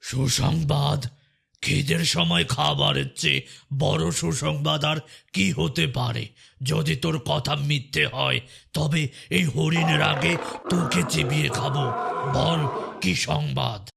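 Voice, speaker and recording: খসখসে, কর্কশ গলার অহংকারী বাঘ রাজা. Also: male voice, a little high, fairly even intonation, slow pace, fairly bright tone, full character voice. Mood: suspicious threat. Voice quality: gravelly, rough. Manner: menacing, domineering. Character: arrogant, ill-tempered tiger king of the jungle. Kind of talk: cartoon voice acting.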